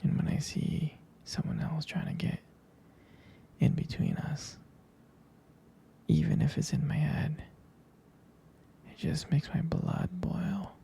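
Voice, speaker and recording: A man plays a devoted, possessive boyfriend in an intimate ASMR roleplay. His voice is very dark and soft, heavily creaky and very breathy. He speaks slowly and haltingly, with tenderness toward his partner. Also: a little high, steady tone, heavily acted. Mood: jealous, hurt. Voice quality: breathy, gravelly whisper. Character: jealous, protective, devoted young boyfriend soothing his partner.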